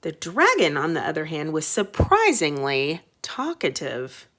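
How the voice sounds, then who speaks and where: A woman teacher reading a storybook aloud to children, expressive and dramatic, with short explanatory asides. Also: low voice, unhurried.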